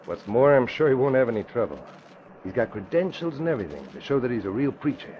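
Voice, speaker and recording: An actor playing a cocky, sneering escaped convict in old radio crime drama style, with tough slangy talk and chuckles. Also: male voice, mid-range voice, big pitch swings, fast talker, close to an everyday voice. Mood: menacing. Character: cocky hard-boiled escaped convict gangster, sneering and vengeful. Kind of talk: 1940s radio acting. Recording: muffled, hissy old radio recording.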